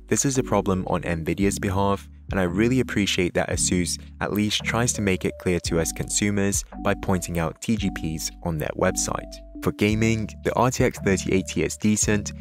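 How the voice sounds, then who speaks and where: A man narrating a scripted tech review in a measured, even way. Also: low-pitched.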